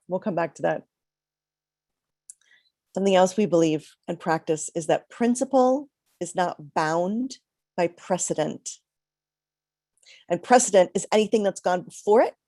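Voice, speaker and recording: A middle-aged woman minister giving a reflective, conversational sermon with pauses, a little congested from a cold. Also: on the low side, mellow, no echo.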